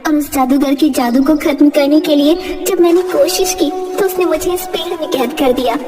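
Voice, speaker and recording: A woman's voice: high voice, moderate pace, big-room sound, natural voice. A woman voicing a forest fairy in a children's cartoon, theatrical and emphatic, with music behind. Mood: grateful, solemn. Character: female forest fairy, stern tester turned kindly guide. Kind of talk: children's cartoon story dub. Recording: music under the voice.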